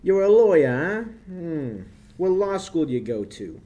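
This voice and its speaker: Young man acting a sardonic tough guy, smug, very animated pitch, old-time radio crime drama. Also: measured pace. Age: young adult. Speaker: high school actor.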